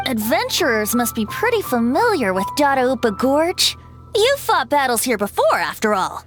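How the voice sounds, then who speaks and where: A wry young bard, playful, musing, high lively voice, game music behind. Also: female voice, moderate pace. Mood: teasing. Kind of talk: video game voice acting.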